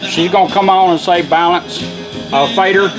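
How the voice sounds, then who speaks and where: A man's voice: high voice, fairly even intonation, moderate pace, over music, rough recording. A man giving an informal hands-on product tutorial in a folksy, step-by-step way. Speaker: product demonstrator.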